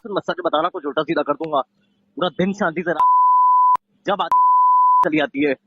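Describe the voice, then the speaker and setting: A young man scolds angrily in a heated argument. His high voice swings wildly as he confronts the other person. It is a muffled phone call buried in loud noise. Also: big pitch swings, normal speed, creaky. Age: young adult. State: angry.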